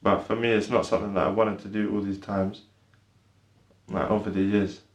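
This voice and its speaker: A young man with a low, level voice speaks hesitantly as a podcast guest, trailing off and filling gaps with "like". He was born in Ireland and grew up in the UK. The sound is echoey, as if recorded in a bare room. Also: little pitch movement, moderate pace. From born in Ireland, raised in the UK. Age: young adult. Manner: hesitant and soft-spoken, many fillers. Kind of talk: casual conversation.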